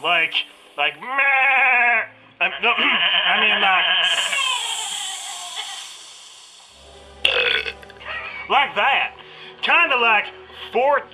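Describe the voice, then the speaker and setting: A folksy, dim sheep farmer with a put-on Southern US accent, played by a woman. The voice is very sharp and forceful, with lively swings in pitch. The farmer rambles and halts crudely in a spoof of old radio drama. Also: slow pace, faint music behind, low-quality recording. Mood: halting, confused. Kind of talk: parody of 1930s radio drama.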